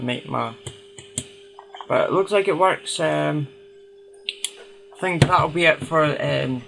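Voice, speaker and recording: Male hobbyist restorer, fry-laden voice, hesitant workbench narration; rough, noisy home recording. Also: a little high. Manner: hesitant, thinking aloud while tinkering. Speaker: hobbyist restorer hosting a video. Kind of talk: casual unscripted workbench commentary.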